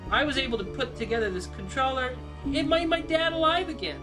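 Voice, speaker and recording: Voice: sits high, expressive, fairly quick. A performer playing a naive, boastful little boy inventor in an amateurish game cutscene. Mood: proud. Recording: distant game clip under loud music.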